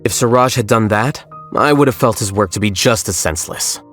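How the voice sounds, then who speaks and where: An actor playing an aloof, blunt young scholar who speaks in a dry, matter-of-fact way in a game cutscene. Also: male voice, on the low side, a little fast, a bit bright, soft background music, lightly acted. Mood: cool.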